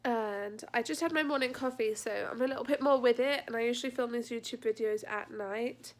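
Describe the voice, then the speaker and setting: A woman's voice: fairly high pitch, brisk. A young woman chatting informally in a casual personal vlog, sounding congested with a blocked nose and a sore throat. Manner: chatty, informal, laughs lightly at her own complaints. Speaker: vlogger. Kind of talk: casual pregnancy update vlog.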